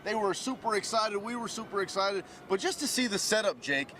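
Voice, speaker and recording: A man's voice: expressive, fairly quick, pushed and bright. An American man giving live, conversational sports commentary as a reporter, with an emphatic way of making his points. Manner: emphatic, punctuates with "listen". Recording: faint outdoor traffic rumble.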